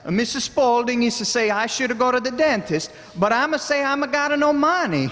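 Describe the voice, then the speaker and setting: A man's voice: very high-pitched, a little fast, pushed and bright, in a large hall. A man playing a timid, naive Italian immigrant in an old-time radio comedy, stammering hesitantly in broken English with an Italian accent. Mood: worried, hesitant.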